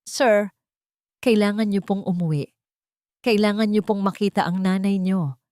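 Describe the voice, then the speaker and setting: A woman's voice: mid-range voice, fairly even intonation, measured pace, a little mellow, no echo, clean studio sound, natural voice. A woman storyteller voicing a frightened, loyal servant woman who pleads desperately in a trembling voice. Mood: urgent pleading.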